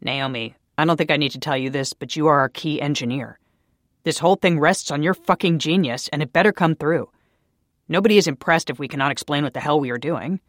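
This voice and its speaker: A man's voice: mid-range voice, fairly even intonation, brisk, few pauses, no room sound, clean studio sound, close to an everyday voice. A reader voicing a frustrated male coworker who scolds and swears, his voice rising as he pushes harder. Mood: angry. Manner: scolding, swearing, growing louder.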